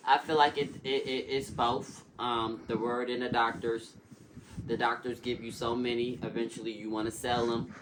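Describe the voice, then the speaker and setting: Man, interview guest, earnest, emphatic, repeats for effect, middle pitch, noisy recording with clicks. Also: little pitch movement, moderate pace. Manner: earnest and emphatic, preacher-like repetition. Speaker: interview guest, youth mentor in recovery. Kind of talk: casual interview talk.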